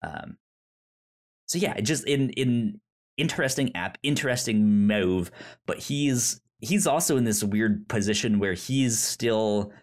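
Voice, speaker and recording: A man co-hosting a casual film discussion, halting as he talks, often stammering and repeating syllables before he gets a word out. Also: mid-range voice, animated. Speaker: podcast co-host.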